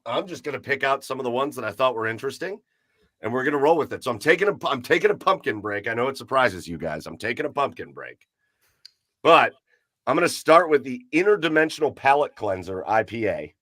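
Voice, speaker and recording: A man hosting a live podcast with an upbeat, emcee-like delivery. His voice sits at an ordinary pitch and sounds dry and close to the mic, as if recorded in a small, deadened room. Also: very animated, fairly quick, fairly bright tone, no echo. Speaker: podcast host. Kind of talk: casual livestream chat.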